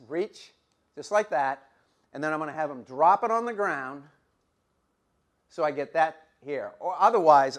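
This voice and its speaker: A middle-aged man giving a football coaching clinic, folksy and repetitive, demonstrating drills as he talks while losing his voice. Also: fairly high pitch.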